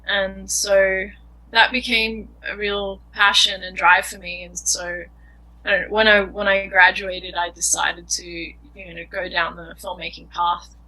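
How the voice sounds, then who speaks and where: A woman's voice: medium pitch, level tone, measured pace, bright, forceful tone, poor audio. A young woman filmmaker answering interview questions in an earnest, thoughtful way, often searching for words. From Australia.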